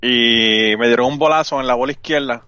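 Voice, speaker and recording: Bright-voiced Puerto Rican man, slightly muffled. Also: mid-range voice, brisk, smooth. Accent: Puerto Rican Spanish. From Puerto Rico. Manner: profane, slangy, self-deprecating. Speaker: podcaster. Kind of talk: casual chat, joking and frank.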